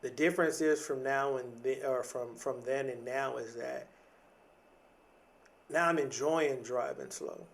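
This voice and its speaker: A man's voice: medium pitch, measured pace, gravelly. A man in his late thirties hosting a podcast, giving a casual motivational monologue in a reflective, conversational way, repeating phrases for emphasis. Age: late 30s. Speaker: podcast host.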